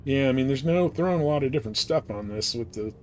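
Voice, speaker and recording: A man's voice: lively intonation, quick pace, darkish tone, soft background music. A man giving casual live commentary as he streams a space game, chatting to viewers with mild swearing. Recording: clear background noise, game engine rumble. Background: spaceship game sounds.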